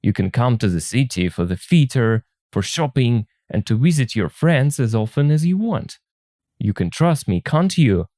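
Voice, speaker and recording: A man reading aloud the part of an insistent, commanding suitor in a story, earnest and deliberate. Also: mid-range voice, very animated, mellow, no echo, clean studio sound, lightly acted. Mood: reassuring. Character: masterful, insistent suitor pleading for marriage. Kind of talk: story read aloud for learners.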